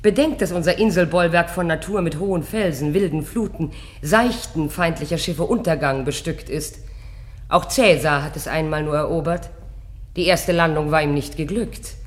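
A woman's voice: deep voice, moderate pace, lightly acted. Woman acting a haughty, defiant queen. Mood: proud, defiant. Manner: firm, declamatory. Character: haughty, defiant queen, proud mother of a prince. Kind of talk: classical radio drama acting.

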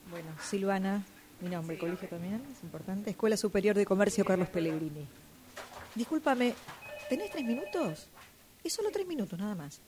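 A woman's voice: mid-range voice, expressive, normal speed, a crowd in the background. An Argentine woman performing an acted dialogue exercise as a radio drama workshop student.

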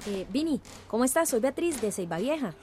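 A woman's voice: a little high, big pitch swings, brisk, darkish tone. An actress playing an earnest, emotional young village woman in a radio drama. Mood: worried, urgent. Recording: music behind the voice.

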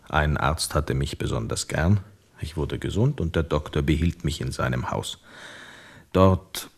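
A modest, sober bandleader in a German radio play. His voice is extremely deep. He recounts things in a measured, understated manner. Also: a little mellow, exaggerated voice. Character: sober, modest male musician and bandleader, long-lost son.

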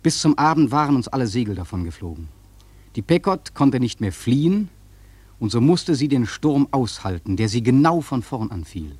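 A man acting a sailor narrator in a radio play, telling his tale in a grave, husky, solemn literary style. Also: medium pitch, big pitch swings, normal speed, darkish tone, dry recording. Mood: grave, ominous. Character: male sailor recounting a terrible storm, grave, awed.

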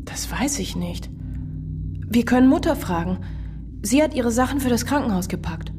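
An actress playing a composed, responsible young elder sister in a German radio crime play. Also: female voice, on the high side, lively intonation, moderate pace, over music, close to an everyday voice. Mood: factual. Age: young adult.

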